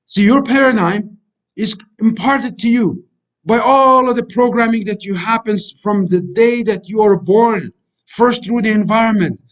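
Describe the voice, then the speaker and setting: A middle-aged man whose native language is Kurdish, lecturing as a life coach in a preachy, repetitive way and calling the listeners friends. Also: high voice. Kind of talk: motivational voice-over lecture over slides.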